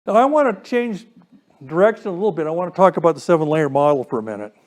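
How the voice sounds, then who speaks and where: A man's voice: fairly high pitch, animated, quick pace, darkish tone. A man presenting an informal technical lecture, explaining step by step and putting questions to his listeners. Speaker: presenter giving a technical talk to a radio club.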